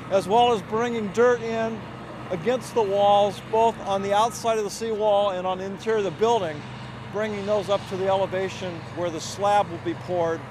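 Middle-aged man, fairly high voice, explanatory tour guide, outdoors, faint site noise behind. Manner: explanatory, gestures while speaking. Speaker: library director giving a site tour. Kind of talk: guided walking tour narration. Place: outdoor snowy construction site.

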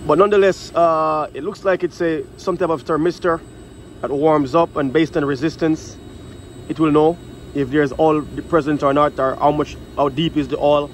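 A man's voice: a little high, steady tone, normal speed. Man explaining a repair outdoors. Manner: casual, explains while working, many fillers. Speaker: HVAC technician and channel host. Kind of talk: hands-on troubleshooting walkthrough. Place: outdoors at a rooftop unit. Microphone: phone camera held in one hand. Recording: phone audio with loud outdoor noise.

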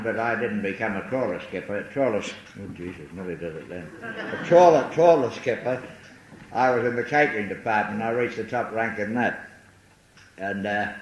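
Older Liverpool man, lowish voice, rambling self-mocking speaker, recorded on old tape in an echoey hall. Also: a little hiss or hum. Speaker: speaker at a recovery meeting. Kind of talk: personal testimony talk. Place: large hall.

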